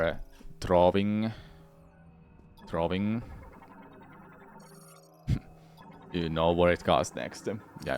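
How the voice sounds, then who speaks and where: A Finnish man with a very low, deep voice talks frankly with his stream viewers, rambling, restarting his sentences and cursing without a second thought. Quiet game music hums behind him. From Finland. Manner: rambling, frank, swears freely, many restarts. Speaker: gaming streamer. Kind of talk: casual chat with viewers during a game playthrough.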